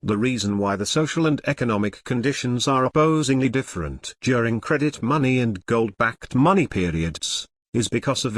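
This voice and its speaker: A man's voice: medium pitch, no echo. A man giving scripted explainer narration in an earnest, formal, teacherly manner.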